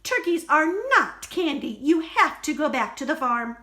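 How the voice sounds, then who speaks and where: Officious storybook elf played by a woman: high, swooping voice, emphatic, stern, scolding. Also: animated, bright, forceful tone. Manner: emphatic, scolding, drawn-out retorts. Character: stern, officious male Christmas elf guard.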